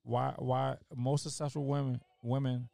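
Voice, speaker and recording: A man's voice: mid-range voice, little pitch movement, a little slow, gravelly, warm tone. A man hosting a casual podcast debate, jokey and cutting in to steer the talk with questions.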